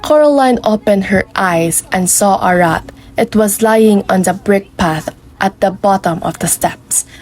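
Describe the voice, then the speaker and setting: A woman's voice: medium pitch, measured pace, hardly any pauses, a little mellow. A woman narrating a scripted story for a puppet show. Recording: clearly noisy recording with ambience.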